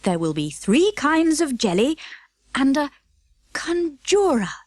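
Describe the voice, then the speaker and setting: A woman voicing a gentle, motherly bear in a children's storybook, speaking with careful deliberation. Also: high voice, big pitch swings, slow, no room sound, studio quality, exaggerated voice. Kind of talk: children's storybook character reading.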